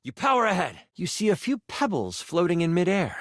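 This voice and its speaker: A man's voice: high-pitched, wildly swooping pitch, brisk, no echo, studio quality. A man playing a theatrical game master, narrating a fantasy adventure with dramatic delivery in a video game. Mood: suspenseful storytelling. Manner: dramatic, storytelling in second person.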